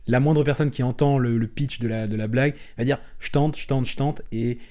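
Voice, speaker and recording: A young French man chatting openly as an interview guest, relaxed and rambling, with frequent restarts and fillers. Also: mid-range voice, a little fast. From France. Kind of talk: casual conversational interview.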